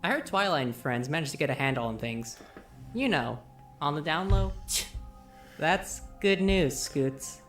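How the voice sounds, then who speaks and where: A man playing a weary, kindly young male pony in a fan fiction audio drama, soft-spoken and reassuring. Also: fairly high pitch, expressive, a little slow, soft background music.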